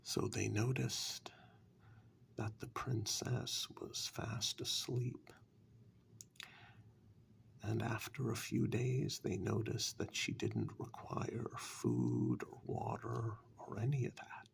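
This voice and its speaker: A man playing a menacing, mocking warlock in a soft-spoken ASMR dark fairytale role-play, with slow, taunting questions and sly reassurance. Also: on the low side, lively intonation, a little hiss or hum, heavily acted. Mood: sly, probing. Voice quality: breathy half-whisper with gravelly creak.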